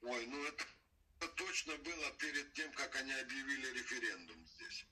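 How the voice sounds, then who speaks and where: A middle-aged man in a casual interview, recounting events in a halting way and circling back with repeated filler phrases. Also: mid-range voice, animated, far from the mic, very noisy. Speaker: interviewee, father of a detained son. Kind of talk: casual spoken testimony.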